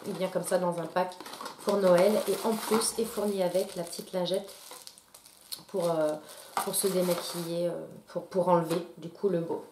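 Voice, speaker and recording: A woman's voice: fairly low pitch, steady tone, normal speed, noisy background. A woman with a stuffy nose from a cold, chatting casually to her viewers with many fillers and restarts. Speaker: beauty YouTuber. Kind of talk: casual product haul talk to camera. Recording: echoey room.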